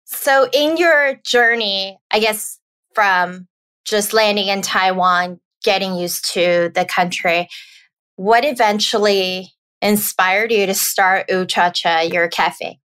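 A woman's voice: medium pitch, takes it slowly, a bit bright, clear, resonant tone. A woman co-hosting a podcast, reading the intro and asking friendly interview questions. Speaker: podcast co-host.

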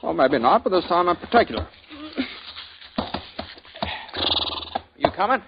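An actor playing a calm, dry-spoken frontier gunman who narrates his own story in a 1950s radio drama. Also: sits high, quick pace, vocal fry, background noise, exaggerated voice. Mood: coaxing. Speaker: lead narrator and hero. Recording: dull old radio broadcast with music.

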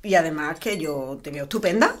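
An actress playing a caring middle-aged mother with a warm, coaxing, chatty manner. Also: low voice, animated, a little fast. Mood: cheerful. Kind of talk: comic family sitcom. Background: dinner table, clinking cutlery.

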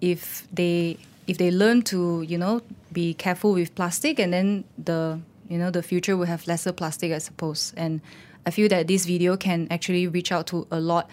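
A woman's voice: lowish voice, fairly even intonation, normal speed, darkish tone. Chatty woman, lots of fillers. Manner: rambling, many fillers like "you know". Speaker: guest, animator and teacher. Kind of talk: casual interview talk.

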